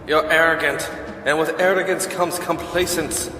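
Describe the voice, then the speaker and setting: A man's voice: a little high, fairly even intonation, moderate pace, pushed and bright, a little hiss or hum. Echoing, cocky man playing an archer. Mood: smug, taunting. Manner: taunting, projected. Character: cocky male human archer who hunts vampires, scornful. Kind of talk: audio drama acting. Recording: distant, echoing reverb, faint music.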